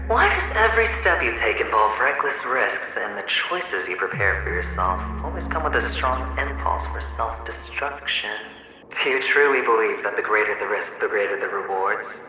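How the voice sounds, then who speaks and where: A man's voice: lowish voice, sharp tone, exaggerated voice. A man playing a taunting, sly inner alter ego in a video game cutscene, speaking in a theatrical, teasing way. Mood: probing, mocking. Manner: theatrical, mocking, drawn-out teasing. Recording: very echoey hall reverb, music behind.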